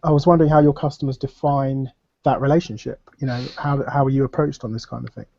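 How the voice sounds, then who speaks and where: Man, nasal from a cold, rambling and hesitant, medium pitch, muffled dry sound. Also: fairly even intonation, fast, creaky, dark tone, no echo. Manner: rambling, hesitant, many fillers. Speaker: panel guest. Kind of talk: casual video call discussion. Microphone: computer microphone on video call. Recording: dull dry video call audio.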